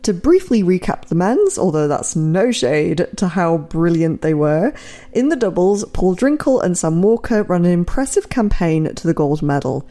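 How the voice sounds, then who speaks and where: A woman's voice: fairly low pitch, very animated, moderate pace, mellow. An English woman hosting a podcast, reading scripted narration between interviews. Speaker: podcast host.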